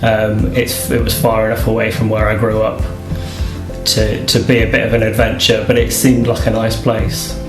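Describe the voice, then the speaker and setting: A man talking casually in an interview, in a halting way that repeats words. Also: lowish voice, completely flat delivery. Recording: echoey room. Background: guitar music.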